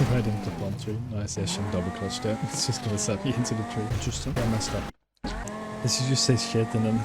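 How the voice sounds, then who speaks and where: Young dutch-german guy yapping while gaming, lots of likes and uhs, car engines roaring under it. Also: medium pitch. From Netherlands. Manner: rambling, chatty, many fillers and self-corrections. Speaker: gaming YouTuber. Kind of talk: casual commentary while playing a driving game. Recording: game car engine noise behind. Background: racing car engine and game sounds.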